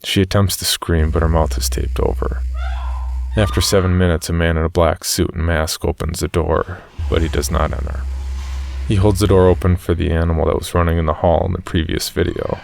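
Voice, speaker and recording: A man with a very low voice and a gravelly creak narrates horror. His delivery is measured and matter-of-fact, like someone reading out a grim account. There is faint noise behind him. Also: level tone, darkish tone. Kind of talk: scripted first-person horror story narration.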